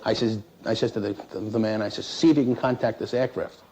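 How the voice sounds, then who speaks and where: A man who works as an air traffic controller recounts his experience in an interview in a halting, plain-spoken, matter-of-fact way. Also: fairly low pitch, steady tone, gravelly, crowd noise. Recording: noisy 1960s interview recording.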